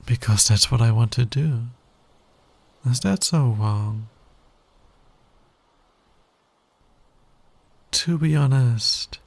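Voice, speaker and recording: Male actor as cocky fantasy villain, slow and gravelly, smug, teasing, flirtatious, intimate ASMR roleplay. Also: medium pitch, darkish tone, no echo, heavily acted. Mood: flirtatious, coaxing. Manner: smug, playful, drawling, teasing.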